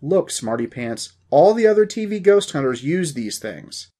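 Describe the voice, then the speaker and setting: A man voicing an excitable, pouty young male ghost hunter who blurts things out and is easily spooked, in an audio story. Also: fairly high pitch, moderate pace, husky, pitched above the performer's own voice. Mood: defensive.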